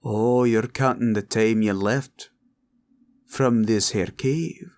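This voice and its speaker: A man playing a greedy, menacing green dragon in a villain roleplay audio, gravelly and drawling as he gloats and haggles. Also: on the low side, fairly even intonation, a little mellow. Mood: grudging, haggling. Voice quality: gravelly, creaky. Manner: slow, drawling, grumbling and haggling.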